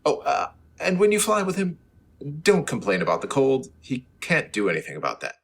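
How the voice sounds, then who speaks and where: Radio serial reporter hero, male, brisk and polite, acted by a man, husky, expressive delivery. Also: mid-range voice, heavily acted. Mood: reassuring. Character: earnest, brisk, courteous male newspaper reporter. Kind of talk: old-time radio hero acting.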